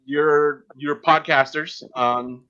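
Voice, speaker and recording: A man hosting a podcast, interviewing guests casually in a halting delivery with frequent pauses. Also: on the high side, steady tone, pushed and bright, clean tone, dull audio. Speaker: podcast host.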